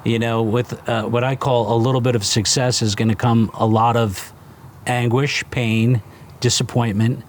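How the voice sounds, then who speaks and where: A man in his fifties from New Jersey, a restaurant founder talking casually in a fireside interview, halting with fillers and restarts. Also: medium pitch, little pitch movement, a little hiss or hum. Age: 50s. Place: outdoors by a fire pit.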